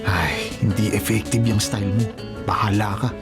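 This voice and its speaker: Low-voiced teasing Filipino big brother, played by a man. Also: steady tone, normal speed, loud music behind the voice, lightly acted. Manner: breezy, casual, ribbing. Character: easygoing, teasing protective older brother. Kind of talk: Filipino radio drama acting.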